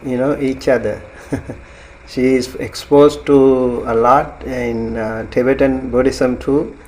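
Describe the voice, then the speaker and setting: A man hosting a class on a video call, speaking slowly and softly with an even, level tone. He breaks up his thanks with ums and small laughs. A faint hiss sits behind him. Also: medium pitch, poor audio. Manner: slow, soft-spoken, with frequent ums and light laughs. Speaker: Buddhist monk teacher hosting a class. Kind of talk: welcoming students on a video call.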